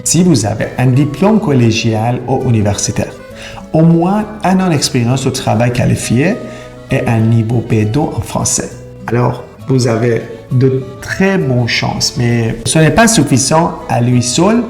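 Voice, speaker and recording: Gravelly-voiced man. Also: medium pitch, expressive, a little slow, darkish tone, soft background music. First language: Persian and Turkish. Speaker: immigration consultant. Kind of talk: explainer video to camera.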